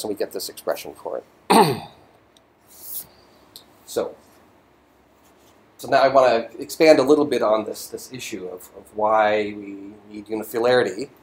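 A man giving an informal university physics lecture, halting as he thinks aloud. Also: fairly low pitch. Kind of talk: lecture voiceover on slides, informal explaining. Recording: roomy echo, faint background noise.

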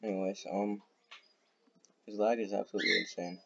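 A young man chatting over his own gameplay in a low voice, rambling, full of fillers and quick bursts of excitement. His mic sounds dull and lo-fi, with a faint hiss and keyboard clicks behind him. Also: gravelly. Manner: excitable, laughs often, rambling with many fillers. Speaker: gaming YouTuber. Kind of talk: casual gameplay commentary.

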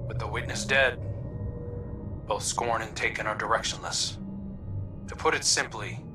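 An actor playing an earnest scout in a video game, giving a field report in a measured, grave, deliberate way. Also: male voice, on the low side, far from the mic, music in the background, lightly acted. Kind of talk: scripted video game dialogue.

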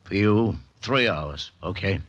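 An actor playing a gruff, wisecracking police lieutenant in a 1940s radio mystery, blunt and sardonic. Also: male voice, sits low, lively intonation, takes it slowly, a bit bright, dull audio, heavily acted. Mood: sardonic, teasing.

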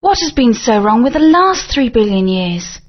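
A brave young heroine speaks with earnest determination. Her fairly high voice is lively in pitch and a little breathy. The sound is dull, lo-fi game audio. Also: normal speed. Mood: determined.

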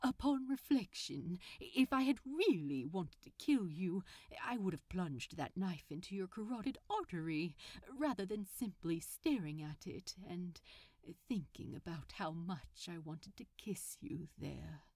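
A woman's voice: fairly low pitch, expressive, measured pace, a little mellow, no room sound, clean studio sound, pitched below the performer's own voice. A woman voicing a genteel, fond, bashful male supervillain with prim, polite diction in an audiobook reading. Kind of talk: fan fiction audiobook character voice.

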